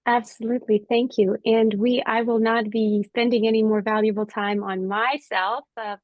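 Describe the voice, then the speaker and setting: A woman chairing a question-and-answer session, posing questions in a measured, deliberate way. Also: on the high side, level tone, no echo, phone-quality sound. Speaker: moderator.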